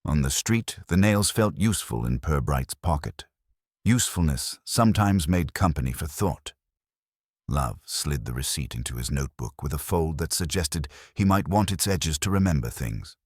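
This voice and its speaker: A man narrating a literary mystery story in a measured, wry, formal manner. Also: rumbling low, lively intonation, a little mellow, husky, no room sound, clean studio sound.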